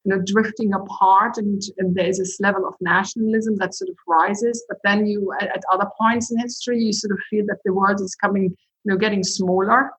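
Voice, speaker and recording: A middle-aged woman, a university president, speaking in a measured, thoughtful way during an interview, often pausing on "sort of" and "uh". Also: medium pitch, steady tone, a bit bright, husky, poor audio. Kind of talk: reflective interview talk.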